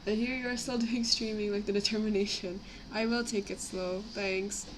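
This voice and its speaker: A young woman with a slightly raspy voice from a cough, rambling casually as she chats on a live stream while building models. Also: medium pitch, fairly even intonation, normal speed, poor audio. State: sick with a cough, itchy throat. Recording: clear background noise, home livestream.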